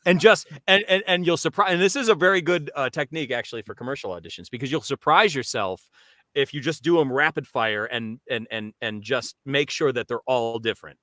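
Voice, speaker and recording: Male voice coach, bright tone, dry sound, demonstrative, casual explanations. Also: medium pitch, brisk, no room sound. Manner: animated, demonstrative. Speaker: professional voice actor giving coaching. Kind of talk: casual instructional chat with demonstration reads.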